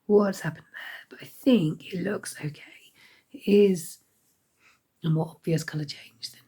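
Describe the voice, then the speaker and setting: A woman giving a casual crafting update, hoarse and half-whispering as her voice fails. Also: sits low, mellow. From United Kingdom. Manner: half-whispered, croaky delivery. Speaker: crochet vlogger.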